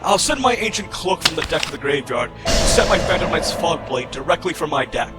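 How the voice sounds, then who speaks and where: A man's voice: a little high, animated, brisk, close to an everyday voice. A man playing a scornful villainous duelist in an anime-style card battle roleplay, declaiming dramatically and formally. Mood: commanding, confident. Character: theatrical villainous duelist, scornful rival of a Chosen One. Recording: voice over loud background music.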